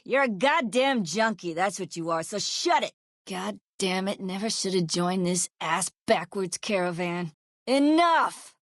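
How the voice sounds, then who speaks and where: A woman's voice: mid-range voice, no echo, studio quality, lightly acted. A woman playing a foul-mouthed, sardonic ex-sheriff turned caravan guard in a video game, blunt and folksy in her speech. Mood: angry, contemptuous. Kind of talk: video game character voice lines.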